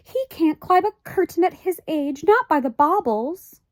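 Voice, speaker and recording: Brief: a woman doing a high-strung storybook mother, shrill and wavering, swinging wide, dry close sound. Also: expressive, measured pace, darkish tone, dry recording. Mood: fretting. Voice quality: high, quavering, melodramatic. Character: fretful, tearful tiny mother, middle-aged woman.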